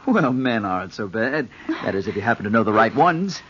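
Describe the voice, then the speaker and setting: A man's voice: fairly low pitch, big pitch swings, fairly quick, a bit bright. A man playing a loyal, easygoing but probing friend in an old-time radio drama. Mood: concerned, probing. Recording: dull, faintly noisy old radio recording.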